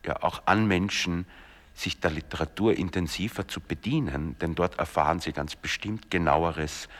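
A man with an extremely deep voice makes an earnest, measured statement. His voice carries a long, roomy reverb. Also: a bit bright. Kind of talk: interview statement.

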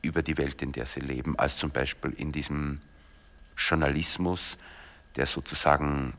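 Very deep-voiced earnest man. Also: steady tone, normal speed, fairly bright tone, big-room sound. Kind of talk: interview statement.

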